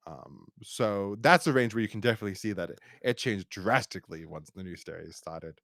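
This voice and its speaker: Rambling podcast man with swooping pitch. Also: lively intonation, fairly bright tone, no room sound, clean studio sound. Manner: rambling, halting with repeated false starts. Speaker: podcast host. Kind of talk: casual review chat.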